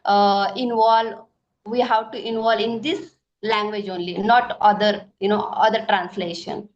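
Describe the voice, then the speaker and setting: A woman's voice: medium pitch, steady tone. Hesitant woman, muffled sound. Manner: halting, searches for words, many fillers. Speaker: homemaker learning English. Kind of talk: casual conversation practice.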